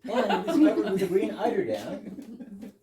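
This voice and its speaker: A man acting a polite, formal husband in a stage reading of an absurdist comedy, deliberate and mock-astonished. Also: sits high, big pitch swings, a loud crowd. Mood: growing astonishment. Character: polite British husband, a formal gentleman puzzled by coincidences. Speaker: amateur readers theater actor. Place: live stage reading in a room. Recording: roomy, distant mic with clear noise.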